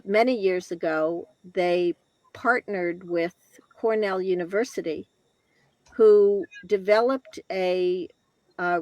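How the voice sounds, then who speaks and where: An older American woman from Connecticut telling her life story off the cuff, reflective and halting, pausing between short phrases. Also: lowish voice, no room sound. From Connecticut, northeastern United States. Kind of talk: unscripted personal storytelling.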